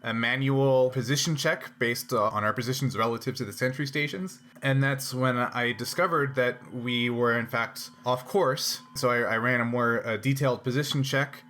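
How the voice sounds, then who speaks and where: A man playing a guilt-ridden, apologetic starship navigator in a tabletop game, speaking haltingly with stammered repeats. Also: mid-range voice, fairly even intonation, sharp tone, close to an everyday voice. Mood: guilty, halting.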